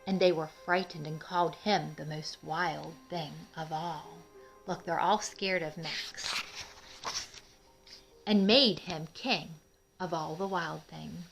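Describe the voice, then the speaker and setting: A woman's voice: sits low, takes it slowly, vocal fry, soft background music, poor audio. A woman hosting a children's read-aloud, telling a picture book story expressively and addressing young listeners in simple terms. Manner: asks young listeners questions, explains simply; emphatic, expressive reading.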